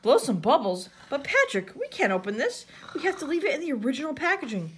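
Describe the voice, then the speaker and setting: A woman voicing an excitable, eager, boyish cartoon sea sponge in a children's story. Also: high voice, moderate pace, a little hiss or hum, voice pushed up. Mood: earnest.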